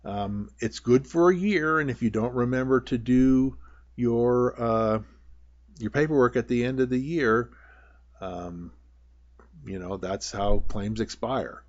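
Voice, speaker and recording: A man's voice: medium pitch, takes it slowly. A man who works as a prospector gives an informal, plain-spoken tutorial over slides, often circling back to repeat his points. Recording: dull, lo-fi voice-over.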